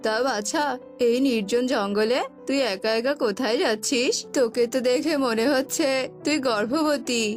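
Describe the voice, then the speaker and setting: A woman's voice: fairly high pitch, measured pace, faint music behind, close to an everyday voice. A woman voicing an old holy sage bird in a cartoon, fondly giving solemn blessings. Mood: kindly, blessing. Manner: addresses listener fondly as child, solemn blessings.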